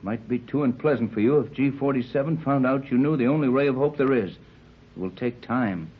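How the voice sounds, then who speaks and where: An actor playing a steady, troubled, plain-spoken captain in an old-time radio adventure serial. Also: male voice, medium pitch, moderate pace, breathy edge, close to an everyday voice. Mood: grave. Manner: earnest, grave, plain-spoken. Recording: muffled, narrow-band old radio recording.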